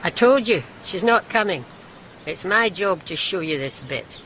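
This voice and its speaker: A woman acting in a radio drama as an eerie, insistent female guide who speaks curtly and flatly. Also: on the low side, a little slow. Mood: curt, commanding. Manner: curt, flat, insistent. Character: Martha, terse, eerie, insistent female guide in a derelict hospital. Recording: long echoing reverb, faint background noise.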